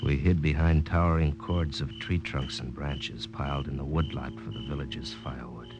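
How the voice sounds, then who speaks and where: Very deep-voiced American army captain. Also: male voice, fairly even intonation, moderate pace, music in the background. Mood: sombre narrating. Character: determined, brooding American army captain recalling a mission. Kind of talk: 1950s radio drama narration and acting. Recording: old 1950 radio drama recording.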